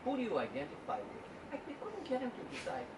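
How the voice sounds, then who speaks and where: A man's voice: extremely high pitch, huge pitch swings, quick pace, creaky. A man, a statistician, gives an informal talk to a book club and often stumbles and repeats his words. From Uganda. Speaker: guest speaker, statistician. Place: indoor meeting room at a book club. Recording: roomy, distant room recording with background noise.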